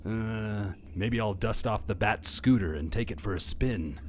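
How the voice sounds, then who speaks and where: A man's voice: fairly low pitch, unhurried, a little hiss or hum, close to an everyday voice. A man voicing a dithering male caped crusader in a comedy podcast sketch, deliberating aloud. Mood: musing.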